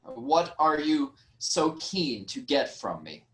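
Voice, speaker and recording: A man playing a composed, authoritative royal in Greek tragedy, speaking formally and deliberately. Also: on the high side, big pitch swings, fairly bright tone, heavily acted. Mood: measured, cautious. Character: composed, authoritative male royal, measured and pragmatic. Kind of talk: theatrical Greek tragedy reading.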